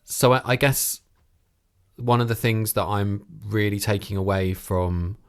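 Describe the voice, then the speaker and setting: A man hosting a conversational interview podcast, thinking aloud in a halting way. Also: lowish voice, clear, resonant tone.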